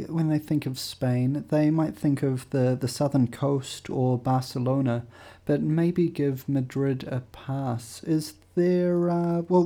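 A man hosting a travel podcast, reading sponsor announcements and asking interview questions in a relaxed way, with frequent "uh"s and restarts. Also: a little high. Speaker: podcast host.

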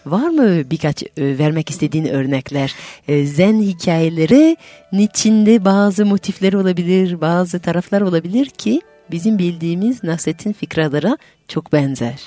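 Radio host: French woman, accented, dark mellow low voice, dry studio sound, measured storytelling. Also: expressive, dry recording, soft background music. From France. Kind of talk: radio conversation, telling a folk tale.

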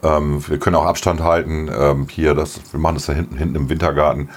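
Brief: middle-aged man, very low voice, a bit hoarse, easygoing podcast chatter with frequent laughs. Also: little pitch movement, quick pace. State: slightly hoarse. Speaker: podcast co-host. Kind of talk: casual chat.

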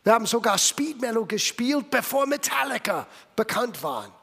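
A very high-pitched man in his sixties preaches with an American accent, his German broken by English slips. He swings from loud, emphatic bursts to hushed lines. His voice carries a long reverb from a church hall. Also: big pitch swings, moderate pace, gravelly, breathy edge. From United States. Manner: German with non-native grammar and English slips. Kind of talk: sermon.

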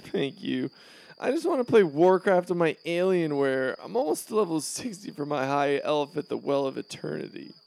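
A man's voice: a little high, big pitch swings, moderate pace, gravelly, darkish tone, poor audio. A man playing a pampered, bratty male student in a comedy series, petulant and full of bluster. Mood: whiny, defensive.

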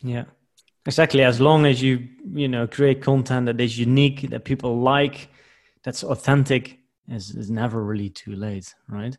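Attentive male interviewer, mid-pitched voice. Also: steady tone, normal speed, darkish tone. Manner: attentive, frequent backchannel agreement. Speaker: interviewer, podcast host. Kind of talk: interview, casual conversation.

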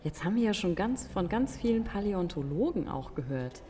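A woman's voice: mid-range voice, sing-song, brisk, dark tone, close to an everyday voice. A woman playing an interested, encouraging companion on a museum visit in an audio play for young listeners. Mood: impressed, encouraging. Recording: echoing hall, faint noise.

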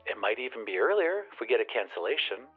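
A courteous government hostess, acted by a man, answers the telephone in an audio drama. She sounds very warm and formal, like a practised official. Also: medium pitch, sing-song, fast, breathy edge, phone-quality sound, soft background music. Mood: warmly official.

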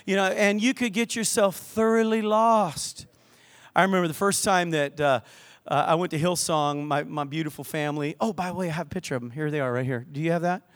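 An older man preaching a lively sermon in church, mixing scripture with jokes and stories. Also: a little high, fairly quick. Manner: lively, joking preacher with asides to the crowd. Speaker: pastor. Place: church hall with balcony.

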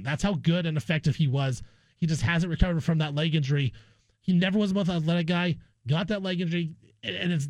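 A man's voice: fairly high pitch, a little mellow, no echo. A man hosting a sports talk radio show, giving opinionated commentary in a conversational way. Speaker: sports radio guest host. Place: radio studio.

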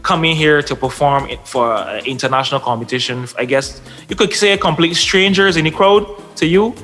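A man's voice: a little high, normal speed, fairly bright tone, soft background music. Man, interviewer, casual and eager, stumbling restarts. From Barbados. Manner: enthusiastic, stumbling over restarts.

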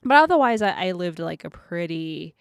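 A woman's voice: on the low side, big pitch swings, moderate pace, gravelly, clear, resonant tone, no echo, clean studio sound. A woman in her thirties from France, a native speaker of Cantonese and French, casually recalling personal memories as an interview guest. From France, outside Paris. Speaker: artist. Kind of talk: casual personal recollection in conversation.

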